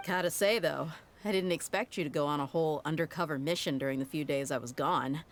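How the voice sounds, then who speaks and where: A confident young female mercenary from a fantasy game. Her voice sits low, and she talks in a self-assured, casual, teasing way with a tough edge. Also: fast talker, a little hiss or hum. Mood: serious.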